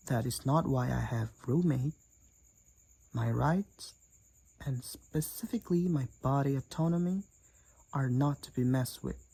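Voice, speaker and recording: Male actor as candid demon college roommate for roleplay audio; raspy, slow-paced, teasing and blunt, speaking straight to the listener. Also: mid-range voice, mellow, exaggerated voice. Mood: firm, matter-of-fact.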